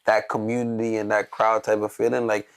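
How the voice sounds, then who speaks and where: A young male host leads a casual studio interview in a low, gravelly voice full of vocal fry. He talks easygoing and slangy, circling around his questions with lots of filler words. His tone stays loose and conversational. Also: flat delivery, a little fast.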